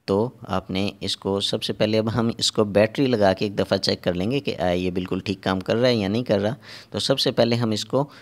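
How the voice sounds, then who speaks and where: A man narrating a hands-on repair tutorial step by step, halting and repeating himself as he works. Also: fairly low pitch, steady tone. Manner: halting and repetitive, pausing while working. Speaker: repair technician giving a tutorial.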